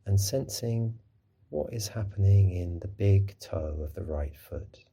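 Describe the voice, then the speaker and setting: Low, mellow, husky man guiding meditation. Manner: gentle, unhurried, soothing instruction. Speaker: mindfulness teacher. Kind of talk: guided body scan meditation.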